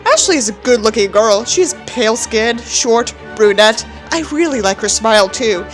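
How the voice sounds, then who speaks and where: Woman acting out a pompous, bitter teenage boy, high-voiced. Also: lively intonation, normal speed, faint music behind, lightly acted, poor audio. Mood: smug, detached. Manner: pompous, theatrical, contemptuous. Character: bitter, self-important nihilistic teenage boy writing a journal. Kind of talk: dramatic reading of a journal.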